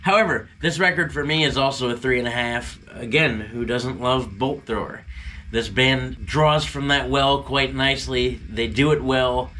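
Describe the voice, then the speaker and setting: Man, sniffly from a cold, bright forceful voice, wry jokey reviewer. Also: medium pitch, moderate pace, a little hiss or hum. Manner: wry, joking. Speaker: album review co-host. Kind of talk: casual album review chat.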